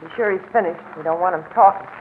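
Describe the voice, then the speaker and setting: An actress playing a fed-up, suspicious American gangster's moll in a crime radio drama, sharp and slangy. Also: female voice, on the low side, fast talker, close to an everyday voice. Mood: hard, urgent. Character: tough, fed-up gangster's moll, young woman, hard and suspicious. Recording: tinny, hissy old radio broadcast.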